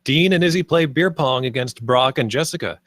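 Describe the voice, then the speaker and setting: A man's voice: medium pitch, moderate pace, a bit bright, no room sound. Man narrating a screenplay, dry recording. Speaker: narrator reading stage directions.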